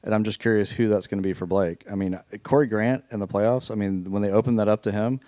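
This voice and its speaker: A man co-hosting a casual sports podcast, joking and bantering with the others. His voice is on the low side and he talks very fast. The recording is dry and close. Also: steady tone, warm tone, dry recording. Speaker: podcast co-host.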